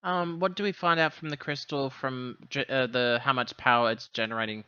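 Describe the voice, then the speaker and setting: A man playing a tabletop game over a voice call, talking casually and haltingly as he thinks out loud. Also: medium pitch, fairly even intonation, clean tone, dry recording, highs cut off. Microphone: online voice call.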